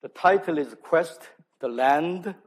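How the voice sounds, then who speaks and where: A man from South Korea with a Korean accent, a native Korean speaker, giving a public academic lecture in halting, self-deprecating English. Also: on the high side. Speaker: lecturer, archaeology scholar. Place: speaking live to an audience at an event.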